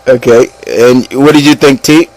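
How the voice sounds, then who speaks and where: A man's voice: mid-range voice, steady tone, normal speed, darkish tone, poor audio. A man hosting an opinion show, chatting casually and informally to his listeners and co-hosts. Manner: informal, conversational, addressing listeners as guys. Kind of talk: casual opinion chat about movies.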